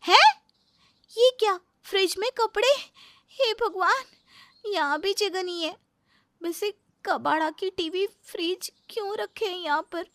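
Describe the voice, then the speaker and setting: A woman's voice: very high-pitched, a little slow, a bit bright, dry recording, heavily acted. A woman playing a young, polite but exasperated new daughter-in-law in a comic family story, with a pleading, plaintive manner.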